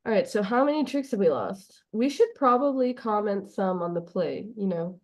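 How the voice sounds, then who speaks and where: A woman co-commentating live bridge on a video call, teasing the others in quick banter. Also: medium pitch, expressive, darkish tone.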